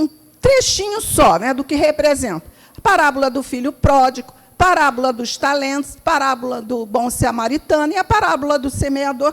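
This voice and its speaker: A woman with a Rio de Janeiro accent giving an informal spiritual talk at a meeting hall, chatty and laughing easily as she asks the audience questions. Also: high voice. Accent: Rio de Janeiro Portuguese. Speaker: spiritist speaker. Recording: reverberant hall.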